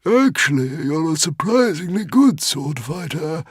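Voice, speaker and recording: A man doing a squeaky, panicky little dragon. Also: big pitch swings, breathy edge, dry recording, studio quality, exaggerated voice. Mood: panicked. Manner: squeaky, high and panicky, drawn-out urgent pleas. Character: small anxious male dragon, squeaky and fretful. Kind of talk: audiobook character voice.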